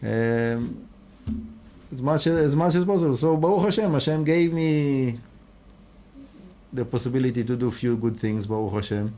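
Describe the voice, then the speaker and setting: Hebrew-native man with a mellow voice. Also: mid-range voice, lively intonation. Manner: halting, restarts and repeats words often; earnest, devout phrasing. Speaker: rabbi and kabbalah teacher. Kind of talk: casual interview answers.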